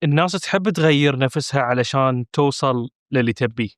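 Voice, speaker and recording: A man's voice: mid-range voice, brisk, no echo. Guy hosting a podcast, short probing questions, dry room sound. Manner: brief, probing questions and interjections. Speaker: podcast host. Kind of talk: interview questions.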